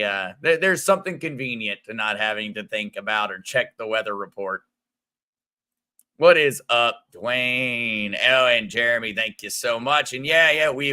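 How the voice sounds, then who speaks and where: A man with a bright, smooth voice hosts a relaxed livestream. He greets viewers by name in a friendly way and rambles a little between comments. His tone is crisp and clear. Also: medium pitch. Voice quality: smooth radio-like voice. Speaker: crypto livestream host. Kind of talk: casual livestream chat reading viewer comments.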